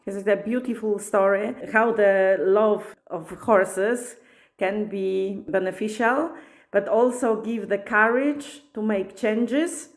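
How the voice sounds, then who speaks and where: Slow, measured woman host. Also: medium pitch. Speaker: podcast host and coach. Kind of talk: scripted intro, then interview.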